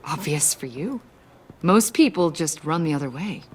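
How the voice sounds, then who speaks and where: A woman playing a keen, friendly intelligence agent in a video game, husky and breathy, with an inquisitive conversational manner. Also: low voice, animated, moderate pace. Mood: intrigued. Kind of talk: video game dramatic acting.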